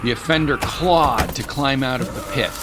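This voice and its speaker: A man delivering dramatic scripted narration for an audio drama in a measured, descriptive storytelling manner. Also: mid-range voice, heavy background noise. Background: music bed.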